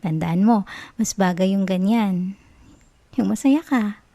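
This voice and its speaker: Woman acting as obsessive young girlfriend, Filipino accent, soft dark-toned voice, dry intimate sound, cooing reassurance, sweetly manipulative, ASMR roleplay. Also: medium pitch, slow pace, no room sound. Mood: tender, possessive. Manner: coaxing, cooing, giggly reassurance.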